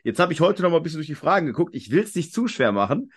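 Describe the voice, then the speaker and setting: A man's voice: fairly high pitch, very animated, rapid-fire, husky. A man hosting a quiz podcast in a casual, talkative and rambling way. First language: German.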